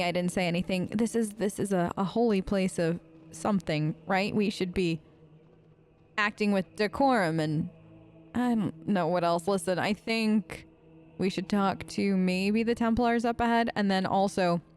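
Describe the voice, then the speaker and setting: A woman doing in-character commentary on a role-playing game, chatty and expressive in the way she reacts. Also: mid-range voice, normal speed, soft background music. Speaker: gamer, let's player.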